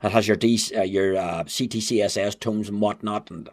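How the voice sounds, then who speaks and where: A man's voice: on the low side, fairly even intonation, fairly quick. Chatty man reviewing gadgets. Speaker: amateur radio gadget reviewer. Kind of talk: casual hands-on product review. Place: indoors at home.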